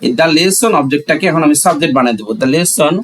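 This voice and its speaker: A man with a Bangladeshi accent and a fairly high voice gives a classroom-style lesson. He explains step by step, repeats key phrases, and poses questions before answering them himself. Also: expressive, fast talker, a bit bright. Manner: didactic, asks and answers own questions. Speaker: English grammar teacher.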